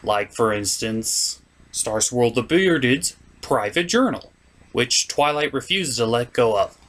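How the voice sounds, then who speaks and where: A man's voice: medium pitch, animated, unhurried, a bit bright. A man giving a scripted comic episode recap in a theatrical manner, as a reviewer and puppeteer. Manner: theatrical, slipping into character voices.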